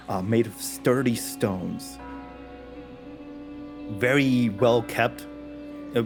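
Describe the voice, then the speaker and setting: A man narrating a tabletop game as game master, halting and full of fillers. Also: fairly low pitch. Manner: slow, halting, with many fillers. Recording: music bed under the voice.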